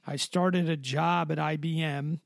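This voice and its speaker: A man in his fifties from Connecticut answering interview questions in a steady, matter-of-fact way. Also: on the high side, a little slow, creaky, dry recording, studio quality. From Connecticut, USA. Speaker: interview guest.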